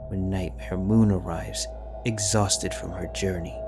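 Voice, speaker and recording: A man narrating a fanfic audiobook in a measured, grave storytelling manner. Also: low-pitched, steady tone. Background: background music.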